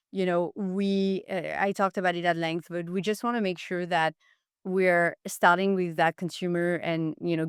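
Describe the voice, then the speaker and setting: A woman's voice: fairly low pitch, clean tone, no echo, studio quality. A woman speaking as a podcast guest, giving enthusiastic, explanatory answers in a conversational interview style. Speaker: podcast guest, marketing executive.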